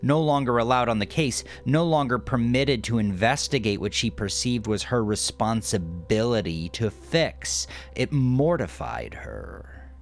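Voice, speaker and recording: A man narrating scripted horror fiction in a measured, literary, dramatic way, dropping at times into a hush. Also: medium pitch, animated. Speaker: audiodrama narrator. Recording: music under the voice. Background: music.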